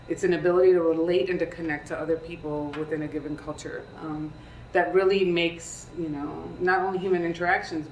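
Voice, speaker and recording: A woman in her thirties, born in Peru, speaking on a career panel to students in a conversational way and often asking them questions. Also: deep voice. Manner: conversational, asks the audience questions. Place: lecture room. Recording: echoey room, distant mic, faint hiss.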